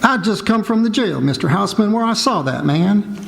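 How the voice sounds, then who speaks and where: A man's voice: high voice, animated, gravelly, over loud music. An actor playing a folksy, wry country man with ungrammatical speech in a radio drama. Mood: wry, reasoning. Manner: folksy, ungrammatical, deliberate reasoning. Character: folksy, wry rustic witness doubting the charge. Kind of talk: 1940s radio drama acting. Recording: live stage, hall reverb, noise.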